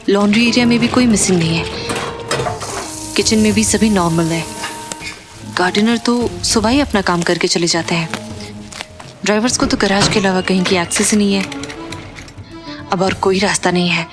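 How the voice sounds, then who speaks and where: An actress playing an earnest, anxious young woman in an audio drama, with emotional, expressive delivery. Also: medium pitch, moderate pace, pauses often, music in the background, close to an everyday voice. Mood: worried, suspicious. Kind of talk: Hindi audio drama acting.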